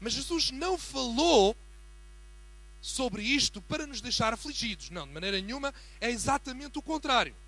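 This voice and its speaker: A man with a European Portuguese accent preaching as a guest in a church, building from a quiet greeting to fervent emphasis. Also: very high-pitched, lively intonation, moderate pace, rough recording. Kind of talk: sermon.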